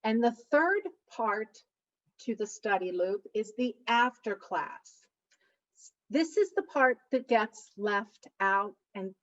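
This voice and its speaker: A woman's voice: medium pitch, recorded from a distance, muffled recording. A middle-aged woman, a college instructor, giving a study-skills presentation with an emphatic, big voice that leans hard on key points. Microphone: computer mic for online session.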